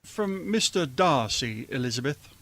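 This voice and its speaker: A man playing a wry, affectionate middle-aged gentleman father in a theatrical radio drama style. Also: mid-range voice, expressive, unhurried, no echo. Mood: sheepish, fond. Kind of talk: old-time radio drama acting.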